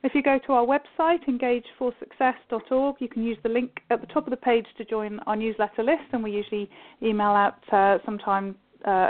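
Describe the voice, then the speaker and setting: A woman hosts this interview with a chatty, brisk manner and quick asides. Her voice sits a little higher than most, and it comes through a thin, phone-like line. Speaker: podcast host. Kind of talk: casual interview hosting. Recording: phone-like call audio.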